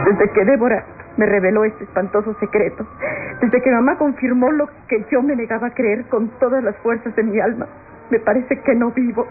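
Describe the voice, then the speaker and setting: An actress with a Latin American accent playing an anguished, gentle, pious young woman in a classic radionovela, speaking with measured, melodramatic sorrow. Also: on the high side, lightly acted. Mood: sorrowful. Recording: tinny old radio drama recording. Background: dramatic music.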